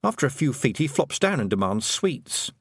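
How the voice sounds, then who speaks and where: An earnest, quick-witted young girl as a man voices her, pitched up from a man's voice and lively. The recording is close and dry. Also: breathy edge, dry recording.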